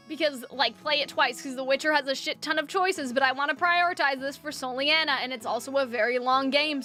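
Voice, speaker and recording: A woman's voice: high-pitched, bright, forceful tone. A young adult woman streamer in casual chat, rambling with fillers and restarts, her voice dry from lack of water.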